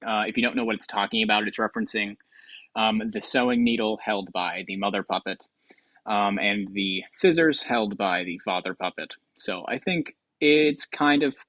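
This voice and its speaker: A man's voice: on the low side, normal speed, fairly bright tone, dry recording. A man giving casual, rambling commentary as a video host, hesitant and self-deprecating, close to the mic. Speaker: video host ranking game voice lines.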